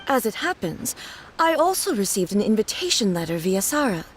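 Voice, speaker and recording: A woman's voice: mid-range voice, sing-song, moderate pace, slight background noise, close to an everyday voice. A woman voicing a dignified, solemn ruler in a video game, speaking formally and earnestly. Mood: formal, matter-of-fact. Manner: formal, regal, serious.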